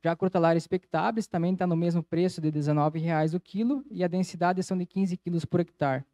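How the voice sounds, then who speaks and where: A man's voice: sits high, fairly even intonation, brisk, warm tone, no room sound. A man giving an agronomy conference lecture in a methodical, explanatory way into a handheld microphone. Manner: explanatory, methodical, frequent "então" connectives. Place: auditorium stage, indoors.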